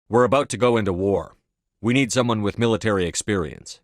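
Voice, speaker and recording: An actor playing a resolute, no-nonsense military commander in a science fiction video game, with firm, clipped, commanding delivery. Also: male voice, fairly low pitch, fairly quick, no room sound, clean studio sound, lightly acted. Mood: firm, determined. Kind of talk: video game voice acting, scripted dialogue lines.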